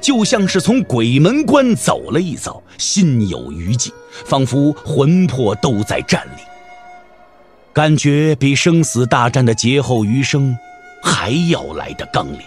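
A man's voice: medium pitch, big pitch swings, a little slow, faint music behind. A man narrating a Mandarin audio drama as a scripted story, theatrical and intense.

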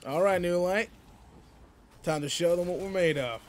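A man's voice: sits high, moderate pace, a little hiss or hum, natural voice. A man playing a seasoned but laid-back space guardian mentor in a video game roleplay, casual, slangy and wry as he talks to a partner. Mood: rallying. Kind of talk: video game roleplay audio, in-character monologue.

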